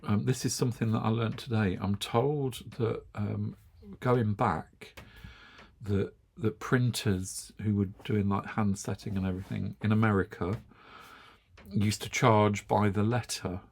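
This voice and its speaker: A middle-aged Englishman with an English accent talks casually to his viewers in a wry, amused tone. His voice sits fairly low and has a gravelly, slightly husky grain. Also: fairly even intonation, measured pace. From England. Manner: chatty, wry, chuckles often. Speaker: knitting channel host. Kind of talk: casual chat to camera.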